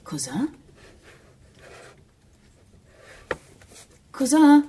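An actress in a radio drama playing a young, innocent and caring daughter in love. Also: female voice, sits high, very animated, unhurried, dark tone, a little hiss or hum. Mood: solicitous.

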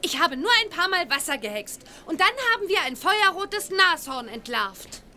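A woman's voice: very high voice, sing-song, normal speed, sharp tone, a little hiss or hum, exaggerated voice. An actress playing a cheeky, fearless young witch girl in a children's audio play, bubbly and confident. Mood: upbeat.

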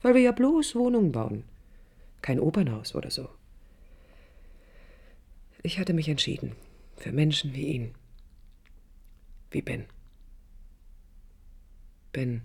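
A man's voice: fairly high pitch, sing-song, slow pace, vocal fry, pauses often, darkish tone, slightly rough, full character voice. A reflective, ironic male storyteller. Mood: defensive, ironic. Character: reflective, ironic first-person storyteller looking back. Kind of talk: literary radio drama narration.

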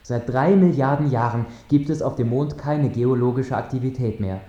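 Radio drama, actor as measured mission control man, mellow, formal, echoing, dulled sound. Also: mid-range voice, natural voice. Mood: explaining. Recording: dull, echoing transmission sound.

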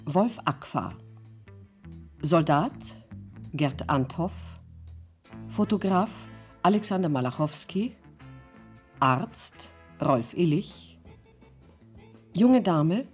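Slow, formal woman radio announcer. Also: deep voice, lively intonation, darkish tone, faint music behind. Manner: measured, pausing between items. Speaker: radio announcer reading cast credits.

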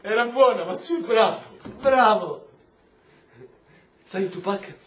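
A man's voice: high-pitched, slow. A man acting in an Italian radio drama as a young, defiant, wounded prisoner, moving between muttering and outbursts. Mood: wry, affectionate. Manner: emotional, swinging from muttering to outbursts. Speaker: actor. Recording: roomy, echoing, noisy background.

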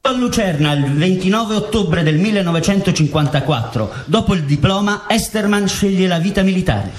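A man reading scripted documentary theatre narration aloud with formal, deliberate delivery. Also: high-pitched, animated, a little hiss or hum.

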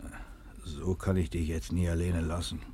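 An actor playing a concerned, plain-spoken village neighbour in a German radio play, solicitous and stubbornly insistent, with a husky voice. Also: male voice, low voice, little pitch movement, moderate pace, warm tone. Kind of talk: German radio play, naturalistic dialect-coloured acting.

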